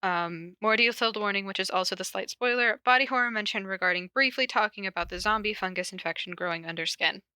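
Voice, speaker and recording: Bright-voiced woman, giggly podcast host. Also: medium pitch, fast, hardly any pauses, smooth, dry recording. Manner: giggly, playful. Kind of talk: casual podcast chat with reading aloud of notes.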